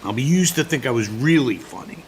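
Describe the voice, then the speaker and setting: An actor playing a joking, stubborn middle-aged American dad in an audio comedy, needling and theatrically aggrieved. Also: male voice, medium pitch, animated, fairly quick, close to an everyday voice. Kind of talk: comedic audio drama acting. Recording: faint background hiss.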